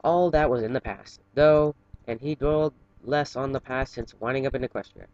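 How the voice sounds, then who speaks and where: Low-voiced man reading, dull lo-fi recording. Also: moderate pace, darkish tone, no echo. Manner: informal, stumbles over words. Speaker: fan fiction reader for an online channel. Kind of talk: amateur story reading with casual asides.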